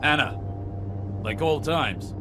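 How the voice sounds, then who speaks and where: An actor playing a stern, brooding older scholar-warrior in a video game, speaking in a formal, imperious, deliberate way. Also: male voice, a little high, over music. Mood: dry, urgent. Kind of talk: dramatic video game voice acting.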